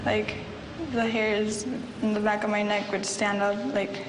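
A woman's voice: mid-range voice, monotone, measured pace, background noise. A woman recounting a personal experience as an interviewed witness. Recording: echoey room under loud music.

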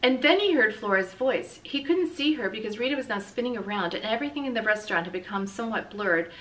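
A middle-aged woman reads a children's story aloud with expressive, dramatic, deliberate emphasis. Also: a little high. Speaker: school read-aloud reader. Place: small indoor room with bookshelf and blinds. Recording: distant mic, light room noise.

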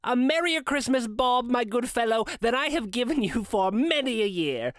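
A storybook reader voicing an excitable, delighted old gentleman, hearty and exclamatory, stammering with eagerness. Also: mid-range voice, measured pace, no room sound, studio quality, pitched above the performer's own voice. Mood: hearty, warm. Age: older. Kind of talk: dramatic storybook character reading.

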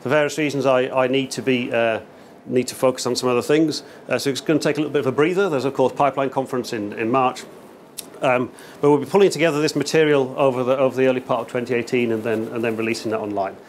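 A man's voice: medium pitch, steady tone, quick pace. A middle-aged man speaks to a small meetup crowd in an upbeat, conversational way, often restarting his sentences with "uh" and "um". His voice sits in a middle register. A faint hum of the room runs underneath. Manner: chatty, upbeat, many fillers and restarts. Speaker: meetup organizer giving a lightning talk. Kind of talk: casual conference talk. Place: small event venue indoors, in front of an audience.

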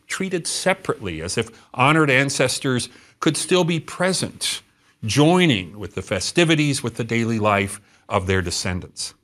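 Animated middle-aged man lecturing on travel. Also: medium pitch. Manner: expressive, gesturing, storytelling with dramatic pauses. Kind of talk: scripted travel lecture to camera. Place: studio set.

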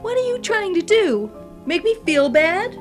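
A woman's voice: very high voice, sing-song, a little slow, exaggerated voice. A woman playing a curt, dismissive bar customer in a satirical radio sketch. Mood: irritated. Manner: dismissive, snippy. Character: curt, prickly woman drinking alone in a bar. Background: music.

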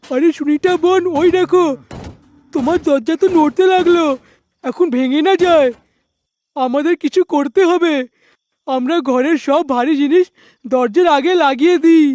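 A woman voicing a frightened, excitable village woman in a cartoon, alarmed and pleading. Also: high-pitched, normal speed, pauses often, dry recording, close to an everyday voice. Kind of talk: animated story dialogue.

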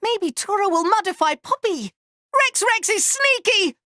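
A man voicing a small, excitable, proud furry inventor creature for a video game, squeaky and cartoonish, talking in childlike broken grammar and in the third person. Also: unhurried, no room sound, clean studio sound, full character voice. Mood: earnest. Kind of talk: video game character voice lines.

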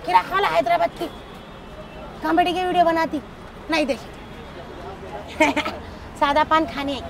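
A woman's voice: very high voice, steady tone, a little slow, crowd noise. A middle-aged woman from Hyderabad with a Hyderabadi accent, chatting brashly and teasing people at a street stall for a comedy vlog, her throat a bit sore. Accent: Hyderabadi Hindi-Urdu. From Hyderabad, India. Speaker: comedy vlogger. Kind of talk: street prank vlog chat. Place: busy city roadside stall, outdoors. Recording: street noise behind.

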